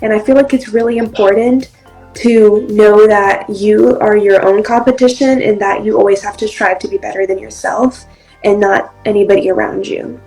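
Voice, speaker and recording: A woman's voice: mid-range voice, fairly even intonation, moderate pace, warm tone, faint music behind, rough recording. A young woman from Brazil, whose first language is Portuguese, answering interview questions in a friendly, chatty way with many ums. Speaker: interview guest, actress and model.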